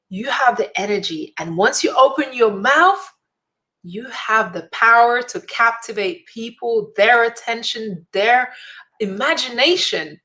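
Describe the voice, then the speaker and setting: A woman's voice: on the high side, bright, forceful tone. Earnest motivational woman speaker. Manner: earnest, inspirational, emphatic repetition. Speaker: speaker and event host. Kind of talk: motivational direct-to-camera talk.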